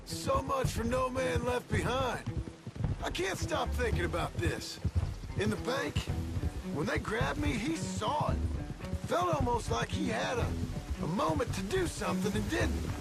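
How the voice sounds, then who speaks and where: An actor playing a weary, rough-spoken American outlaw, blunt and sardonic, in a western game drama. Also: male voice, very high-pitched, very animated, a little slow, exaggerated voice. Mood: bitter. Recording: loud music behind the dialogue. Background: music, horse hooves.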